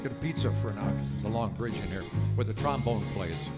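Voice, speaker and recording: A man's voice: on the low side, brisk. A man working as emcee and musical guest, giving jokey, offhand spoken patter between crooned swing standards. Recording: live music performance with loud backing. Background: loud music accompaniment.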